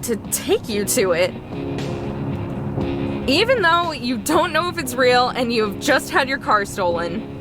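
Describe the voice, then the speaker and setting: A guarded young woman in a visual novel game. She is down-to-earth and reluctantly kind, with a highish, animated voice. Music plays behind her. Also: measured pace, pauses often. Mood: skeptical. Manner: skeptical, down-to-earth. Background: loud music.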